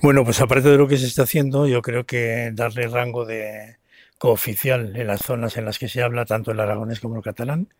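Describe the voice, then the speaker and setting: A man's voice: mid-range voice, fairly even intonation, fairly quick, no room sound. A man with an accent from Spain giving unscripted interview answers, often hesitating and rephrasing. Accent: Spanish from Spain. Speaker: writer and historian being interviewed.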